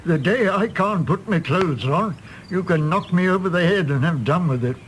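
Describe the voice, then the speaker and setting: Radio play: grumbling old man, slightly high voice, unhurried, self-deprecating, some background noise. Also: lively intonation, poor audio. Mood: irritable. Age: older. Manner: grumbling, rambling, repeating himself.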